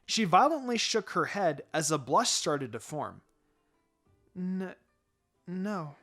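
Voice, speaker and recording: Male storyteller for scripted fan fiction; animated delivery, music bed underneath. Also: on the high side, normal speed. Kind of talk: expressive scripted story narration.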